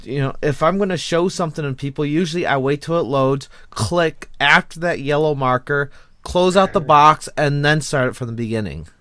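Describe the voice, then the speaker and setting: A man's voice: fairly high pitch, moderate pace, bright, forceful tone, dry recording. Casual male host, dry close sound. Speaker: podcast host. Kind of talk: casual panel discussion.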